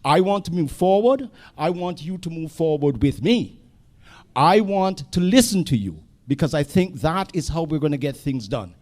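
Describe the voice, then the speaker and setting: A man's voice: fairly high pitch, animated, normal speed, a little mellow. A man giving a campaign speech at a community meeting in short, punchy phrases. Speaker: council candidate. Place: community hall.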